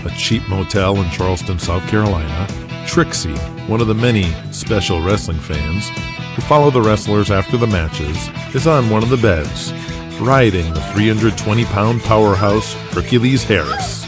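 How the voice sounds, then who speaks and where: A man's voice: lowish voice, animated, normal speed, over loud music. A man reading scripted narration for an audio drama as its narrator.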